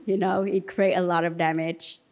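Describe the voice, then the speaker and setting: A woman's voice: fairly low pitch, level tone, moderate pace, darkish tone, muffled recording. A woman, speaking to a Buddhist community gathering. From Vietnam, later France. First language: Vietnamese. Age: 30s. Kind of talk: reading aloud and personal sharing.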